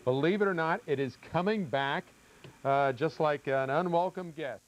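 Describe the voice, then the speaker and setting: A man's voice: a little high, moderate pace. A man with an American accent giving an ad-libbed, conversational TV weather report, explaining satellite maps with emphasis. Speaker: TV weather forecaster.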